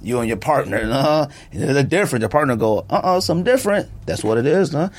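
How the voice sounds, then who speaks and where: A man hosting a podcast in casual, joking banter, animated and slangy, often repeating himself for emphasis. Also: medium pitch, fast talker, noisy background. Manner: animated, jokey, slangy and repetitive. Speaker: podcast host. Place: podcast studio, indoors.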